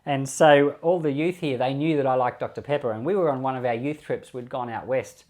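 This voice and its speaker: A man's voice: mid-range voice, a little fast, darkish tone. Australian man giving informal Bible commentary. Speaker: pastor and Bible podcast host.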